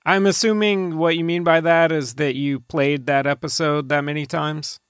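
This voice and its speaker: A man's voice: a little high, steady tone, normal speed, smooth, no room sound, studio quality. A man co-hosting a podcast in casual chat, with a wry, teasing way of talking. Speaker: podcast co-host.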